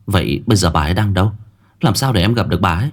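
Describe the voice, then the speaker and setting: A man voicing an earnest, emotional young woman in a story, halting and repeating words. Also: deep voice, expressive. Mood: stunned, eager.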